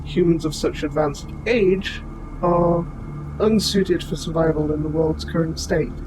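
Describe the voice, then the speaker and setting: A man voicing an old military robot, formal, precise and gently protective, in a scripted audio roleplay addressed to the listener. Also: on the high side, noisy background, natural voice. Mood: calm, informative. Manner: formal, precise, measured phrasing. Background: music.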